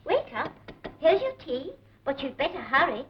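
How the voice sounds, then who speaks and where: A kindly British wife, brisk and coaxing, with a high, very lively voice. The old film soundtrack is dull with faint noise. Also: female voice. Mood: gently chivvying. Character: kindly brisk wife waking her husband. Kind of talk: 1940s British film acting. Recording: dull, slightly noisy vintage film soundtrack.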